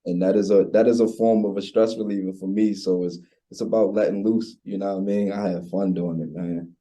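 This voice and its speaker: Dark, husky young man with Baltimore accent. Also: low-pitched, steady tone, brisk. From Baltimore, USA. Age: 20s. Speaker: interview guest, recording artist. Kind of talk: casual chat.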